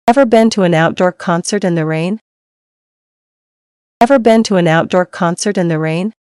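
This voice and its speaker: A woman reading English dialogue lines slowly and clearly for learners, repeating each line. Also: lowish voice, darkish tone, no echo, studio quality. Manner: slow, clear, each line read twice.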